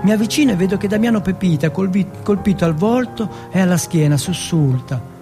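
A male monologue performer from Turin narrates in the first person. His voice is fairly high for a man, rough and crackling. He speaks in short, streetwise, colloquial sentences, with faint music underneath. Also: brisk, warm tone. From Turin, Italy. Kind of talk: first-person dramatic storytelling.

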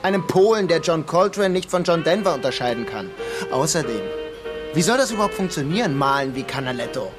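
Wry, disillusioned would-be crook, music behind. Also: male voice, a little high, sing-song, fairly quick. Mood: frustrated, proud. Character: disillusioned would-be artist narrating his small-time heist plan. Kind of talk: German radio crime drama, dialogue and reflective narration.

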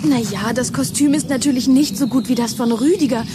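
A woman's voice: high-pitched, fairly quick, reverberant, heavy background noise, natural voice. An actress playing an eager, pushy schoolgirl in a German children's radio play, giggly and insistent. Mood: playful, proud. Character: eager, pushy schoolgirl keen on vampire films.

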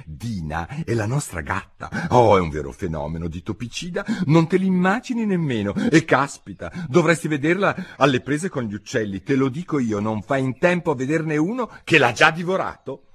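A man's voice: medium pitch, big pitch swings, normal speed, hardly any pauses, slightly rough, pitched above the performer's own voice. A man voicing a curious, naive little girl from a children's storybook, prim and earnest. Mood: excited, proud.

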